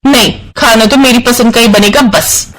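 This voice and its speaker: A man voicing a young, quarrelsome, sharp-tongued daughter-in-law with a dramatic manner in an animated moral story. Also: very high-pitched, moderate pace, slight background noise, rough recording. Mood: defiant, bickering. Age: young adult. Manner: bickering, dramatic.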